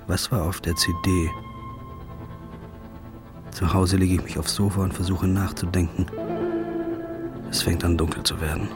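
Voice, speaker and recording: An actor playing a troubled journalist who narrates his own story in a subdued, low inner monologue. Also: flat delivery, moderate pace, pauses often, close to an everyday voice. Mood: brooding. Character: troubled male journalist, anxious and brooding. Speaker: narrator and lead character, a journalist. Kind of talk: first-person audio drama narration. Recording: music underneath the voice.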